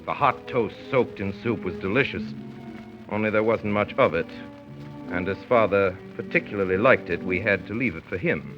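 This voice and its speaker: An actor playing a grown son narrating family memories, wry and measured, in 1930s radio style. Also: male voice, on the low side, natural voice. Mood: wry, reminiscent. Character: grown son wryly recalling his domineering father. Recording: dull 1930s radio recording with music.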